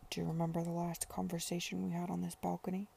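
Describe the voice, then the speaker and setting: A woman voicing a tender, earnest male suitor in a period romance audiobook, speaking in a hushed, intimate manner. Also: fairly low pitch, monotone, quick pace, creaky, a little hiss or hum. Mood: hushed, searching.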